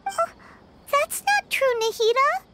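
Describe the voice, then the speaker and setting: Squeaky tiny excitable fairy girl. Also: takes it slowly, heavy background noise, heavily acted. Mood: encouraging. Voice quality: squeaky, childlike. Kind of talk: animated game character acting.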